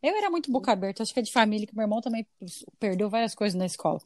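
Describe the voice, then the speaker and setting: A woman's voice: medium pitch, animated, racing, darkish tone, dry recording, clean studio sound. A Brazilian woman in her thirties with a mixed Brazilian accent, hosting a casual podcast chat with playful asides. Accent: mixed Brazilian Portuguese. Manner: chatty, laughing, playful asides. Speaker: podcast host.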